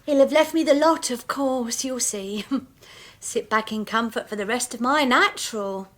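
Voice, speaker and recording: An actress playing a coarse, grasping widow in a radio drama, brash and scornful in manner. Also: female voice, fairly high pitch, measured pace, natural voice. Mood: gloating.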